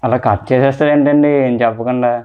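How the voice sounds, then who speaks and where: Prank-call admirer, played by a man: young, tipsy, smitten, shy and insistent, rapid muffled delivery. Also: medium pitch, fairly even intonation, background noise, natural voice. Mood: pleading, hurt. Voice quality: muffled by cloth held over mouth. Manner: polite, pleading, insistent. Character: drunk, lovesick young male secret admirer, pleading and shy.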